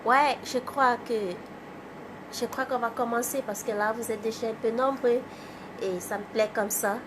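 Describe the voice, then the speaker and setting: A woman from Cameroon with a cold, hosting a casual livestream, greeting viewers by name and chatting in a halting way. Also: on the high side, fairly even intonation, noisy background. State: has a cold. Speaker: livestream host, artist. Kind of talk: casual live chat with viewers.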